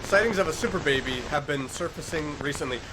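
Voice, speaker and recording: A man with a fairly high voice gives casual video commentary. He reads on-screen text aloud and muses in short fragments, with noticeable noise behind him. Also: fast talker. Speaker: reaction video host.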